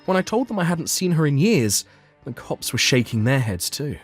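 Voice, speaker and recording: A man's voice: on the high side, very animated, normal speed. A man reading scripted first-person story narration for a manga dub, as the narrator and lead character. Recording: music behind the voice.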